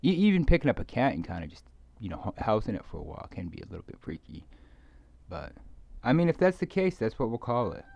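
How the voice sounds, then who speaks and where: Low, creaky-voiced man rambling hesitantly. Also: huge pitch swings, darkish tone, dry recording, lo-fi sound. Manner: hesitant, halting, trailing off, often repeating words. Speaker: video creator speaking to viewers. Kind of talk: rambling casual monologue.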